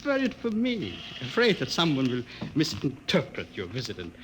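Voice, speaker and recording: An actor playing a gentle, earnest older male physicist with formal, careful phrasing, in a 1950s radio drama. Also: mid-range voice, huge pitch swings, normal speed, background noise.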